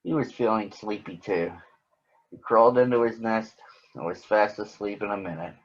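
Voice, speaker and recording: A man's voice: fairly low pitch, moderate pace, highs cut off. A man reading a picture book aloud to children. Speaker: children's story reader.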